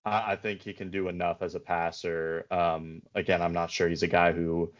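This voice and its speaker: A hoarse man with a very deep, flat voice talks basketball in a hesitant, low-key way. He pauses often and restarts his sentences. The audio is slightly dull and lo-fi. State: hoarse, voice worn from yelling. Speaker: basketball draft podcast host; coach. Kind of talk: casual sports analysis chat.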